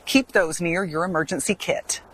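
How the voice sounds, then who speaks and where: A woman's voice: lowish voice, moderate pace, a bit bright, low-quality recording. A woman reporter reading scripted narration for a television news story.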